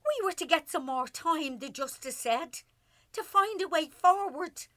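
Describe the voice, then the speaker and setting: Anxious older Irish wife, bright-voiced. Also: female voice, high-pitched, animated, a little slow, dry recording, exaggerated voice. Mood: frightened, pleading. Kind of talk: radio play acting.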